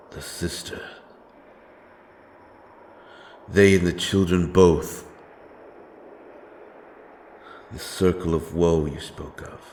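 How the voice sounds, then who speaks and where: A man playing a grim, righteous wandering avenger in a dark fantasy audio drama, speaking in a solemn, archaic and deliberate way. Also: very deep voice, a little hiss or hum. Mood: tense, grave.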